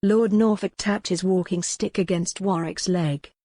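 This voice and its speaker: A woman with a fairly low voice narrates a historical romance. Her delivery is scripted storytelling for an audiobook, recorded dry with little room sound. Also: steady tone, measured pace, dark tone, no room sound.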